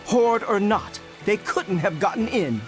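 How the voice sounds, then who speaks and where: A man's voice: high-pitched, animated, measured pace, over music. A man playing a dismissive townsperson in a video game, emphatic and sceptical. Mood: adamant disbelief.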